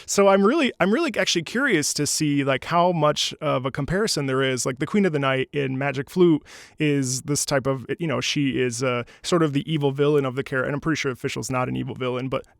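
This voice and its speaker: A man's voice: mid-range voice, a little fast, vocal fry, no echo, studio quality. A man hosting a music reaction show, chatting casually with curious, enthusiastic commentary.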